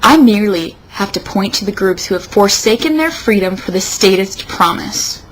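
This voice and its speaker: A woman's voice: mid-range voice, expressive, moderate pace. Sarcastic woman in a noisy recording. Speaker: co-host. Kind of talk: mock-dramatic reading with casual banter.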